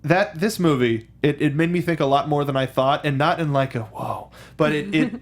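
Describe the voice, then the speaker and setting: An American man hosts a film talk show from a studio. His delivery is casual and conversational, with frequent repeated words and halting restarts as he works through each thought. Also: fairly high pitch. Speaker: host of a film review show. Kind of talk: casual film discussion chat. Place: studio with a control booth.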